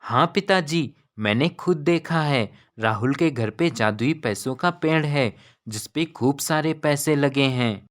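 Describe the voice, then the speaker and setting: A man voicing a curious, excited young village boy in a cartoon story, eager and amazed in manner. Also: on the high side, unhurried, close to an everyday voice. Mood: insistent.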